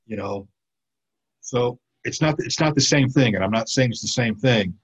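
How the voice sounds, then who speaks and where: A man's voice: mid-range voice, moderate pace, breathy edge. A man sharing a personal experience casually in a group, hesitant with bursts of emphasis. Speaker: church group participant. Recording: dull, lo-fi sound.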